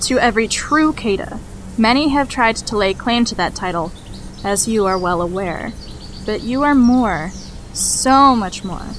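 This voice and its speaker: A woman playing an older ancestral mage in a fantasy audio drama, speaking in a measured, formal and solemn way. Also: a little high, lively intonation, fairly bright tone, slight background noise. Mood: grave, knowing.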